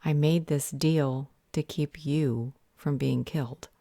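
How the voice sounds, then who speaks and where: A woman playing a stern, protective vampire healer in an audio roleplay, speaking in a measured, firm and earnest way. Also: low-pitched, steady tone, creaky, warm tone, no echo, clean studio sound, heavily acted. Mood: earnest, tender.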